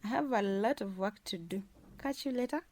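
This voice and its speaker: A woman's voice: mid-range voice, moderate pace, a little mellow, natural voice. A woman acting a bold, self-assured working wife in a radio drama. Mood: friendly, easygoing. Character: bold, defiant, fun-loving working wife.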